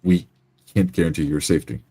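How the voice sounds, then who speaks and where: Man playing a very deep-voiced, anxious adventurer. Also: fairly even intonation, brisk, mellow, breathy edge. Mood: alarmed, pleading. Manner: hesitant, stammering. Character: anxious, courteous male fantasy adventurer.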